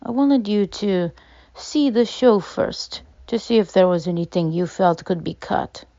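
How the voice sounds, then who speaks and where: A woman's voice: medium pitch, lively intonation, measured pace, mellow, no echo. A woman playing a genial, insistent theater owner in an audio drama, persuasive, on a dull recording.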